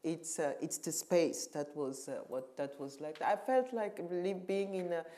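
Low, mellow-voiced woman curator. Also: moderate pace. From Cameroon. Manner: reflective, emphatic, searching for words. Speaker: curator and museum director. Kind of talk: panel conversation.

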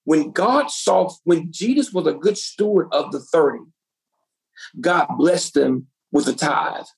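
Brief: woman pastor, gravelly husky voice, preaching, rhetorical questions to the room. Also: sits low, unhurried, darkish tone, poor audio. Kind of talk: sermon to a women's group.

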